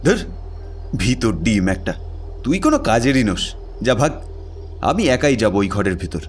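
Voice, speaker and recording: A man's voice: lowish voice, very animated, a little slow, a little hiss or hum. An actor playing a brash, fearless young man who mocks his friend. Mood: mocking. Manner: mocking, dismissive. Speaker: character in audio drama.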